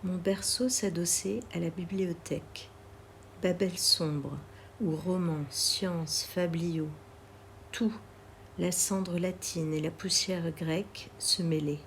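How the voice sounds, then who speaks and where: A woman reads literary poetry aloud in a measured, deliberate way, pausing between phrases. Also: on the low side, fairly even intonation, slight background noise. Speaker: poetry reader.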